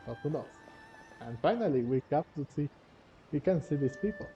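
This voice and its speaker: A man giving casual gameplay commentary as a video host, halting and often chuckling, with game music behind him. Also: on the high side, animated, muffled recording.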